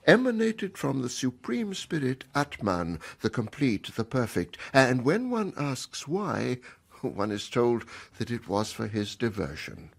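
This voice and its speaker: A self-important, scholarly middle-aged planter in a radio play. The voice is husky and creaky at a middle pitch, with very animated intonation. He is earnest and a little hesitant as he holds forth. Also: measured pace, exaggerated voice. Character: genial, bookish, self-important widowed planter and father. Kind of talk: radio drama acting.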